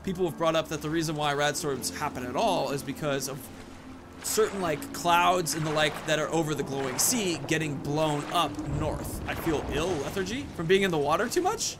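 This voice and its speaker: A man's voice: a little high, normal speed, noisy background. A man giving casual let's play commentary as a gaming host, rambling and thinking aloud.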